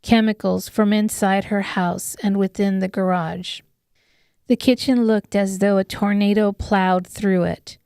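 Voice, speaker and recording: A woman with a smooth, clear voice giving scripted dramatic narration of an audiobook. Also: mid-range voice, steady tone, measured pace, a little mellow, no room sound, clean studio sound.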